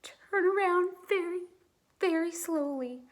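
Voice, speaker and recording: A woman's voice: extremely high pitch, slow pace, voice pushed up. A woman reading a storybook as an eager, bossy young girl with an urgent, insistent manner. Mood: horrified, hushed.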